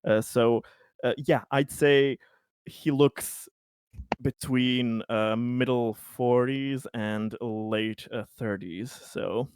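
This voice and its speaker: A man chatting casually as a player in a tabletop roleplaying game, halting as he searches for words. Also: mid-range voice, steady tone, a little mellow, clean tone, no echo.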